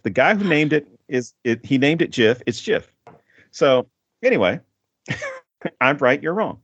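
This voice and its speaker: Male podcast host, casual and rambling, given to laughs, mid-pitched, slightly muffled audio. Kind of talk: casual film discussion.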